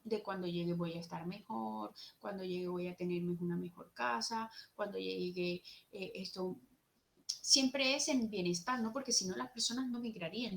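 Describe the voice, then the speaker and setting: Young woman, Jerez Andalusian accent, mid-pitched, earnest seminar speaker who leans on key words. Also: a little hiss or hum. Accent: Andalusian Spanish, from Jerez. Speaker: speaker at a university social work seminar. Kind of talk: conference talk, partly read.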